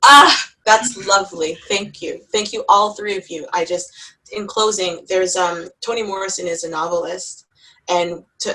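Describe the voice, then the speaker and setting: A woman with a lowish voice moderates a recorded Q&A with filmmakers. She talks in a chatty, appreciative way, full of small fillers. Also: bright, forceful tone, low-quality recording. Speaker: festival programmer and moderator.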